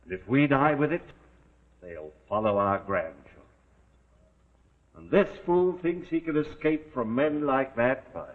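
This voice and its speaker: An actor playing a cunning, commanding gentleman leader of thieves, unhurried and coolly scornful, in 1940s suspense style. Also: mid-range voice, animated, close to an everyday voice. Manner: unhurried, superior, coolly scornful of his men. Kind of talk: 1940s live television suspense acting. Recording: muffled, narrow-band 1940s TV broadcast.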